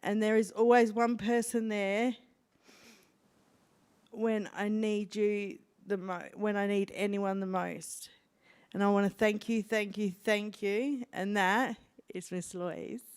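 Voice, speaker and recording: A woman's voice: medium pitch, steady tone, a little mellow, smooth. A woman with an Australian accent, speaking earnestly into a handheld microphone as a guest on an event stage. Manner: slow, earnest, heartfelt. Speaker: guest speaker sharing her story. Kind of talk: answering interview questions on stage.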